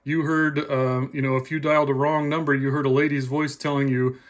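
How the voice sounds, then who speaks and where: A man's voice: on the high side, level tone, fairly quick. A man giving a casual, plainspoken explanation to viewers, like a hobbyist presenting a demonstration.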